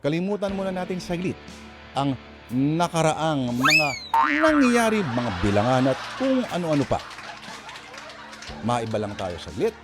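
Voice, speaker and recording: A man hosting and narrating a radio drama in a theatrical, emphatic scripted style, pausing between phrases. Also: on the high side, big pitch swings. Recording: music playing behind the voice.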